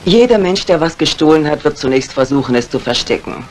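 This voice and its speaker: A woman's voice: sits low, animated, brisk, a little mellow. An actress playing a self-assured, inquisitive lady mystery writer in a retro radio crime drama. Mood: musing. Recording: noisy old radio recording.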